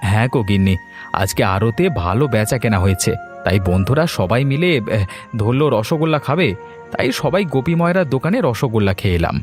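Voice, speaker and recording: A man's voice: medium pitch, lively intonation, fast talker, soft background music, close to an everyday voice. A man voicing a sociable village trader husband in a cartoon folk tale, coaxing and appeasing in manner. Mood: chatty, excusing.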